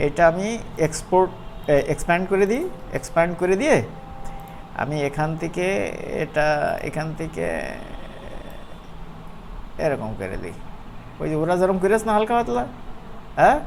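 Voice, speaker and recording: A man with a Bangladeshi accent giving an informal, playful software tutorial as an instructor, often checking in with his listeners. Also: sits high, very animated, normal speed, creaky, pauses often, slight background noise. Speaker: animation instructor.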